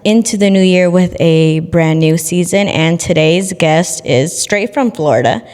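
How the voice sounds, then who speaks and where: A woman's voice: lowish voice, unhurried, a little mellow, big-room sound. A young woman hosting a radio interview, upbeat and friendly with casual slang. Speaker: radio host. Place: radio studio.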